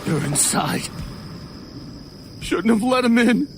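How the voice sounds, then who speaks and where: A man voicing an injured, panicked man who speaks in choked, halting bursts. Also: very high-pitched, expressive, background noise, pitched above the performer's own voice, heavily acted. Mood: desperate.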